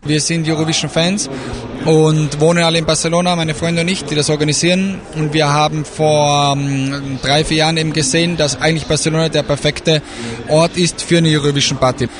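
A man from Austria talks up his events in a keen, filler-heavy interview at a trade fair. His voice sits a touch high. A crowd murmurs loudly behind him. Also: level tone. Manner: eager, fast talk with many fillers. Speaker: event organizer.

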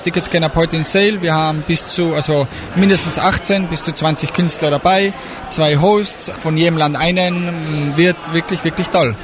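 A man's voice: fairly high pitch, steady tone, normal speed. A man from Austria, an event organizer, talking eagerly with many fillers in an interview at a trade fair. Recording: crowd noise behind.

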